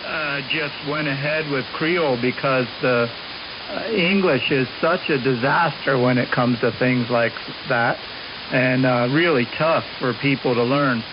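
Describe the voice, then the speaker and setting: A man's voice: medium pitch, a little slow. A man chatting casually as an amateur radio operator, heard over a shortwave radio link. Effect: shortwave radio transmission. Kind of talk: casual radio contact chat, ending turns with "over". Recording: muffled, hissy amateur radio signal.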